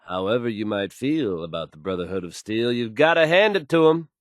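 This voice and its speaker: A man's voice: lowish voice, animated, brisk, no echo, close to an everyday voice. Man playing a confident radio newsman, dry studio sound. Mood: confident, emphatic. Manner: assured, folksy, dramatic announcer delivery. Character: confident, smooth male radio news host with showman's flair. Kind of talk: in-game radio news acting.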